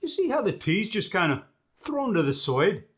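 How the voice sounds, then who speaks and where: Guy doing a boastful scottish teacher, highish voice that swings all over, emphatic comic lesson. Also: very animated. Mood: instructive, confident. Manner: swaggering, emphatic, deliberate demonstrating. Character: cocky, boastful Scottish male dialect master.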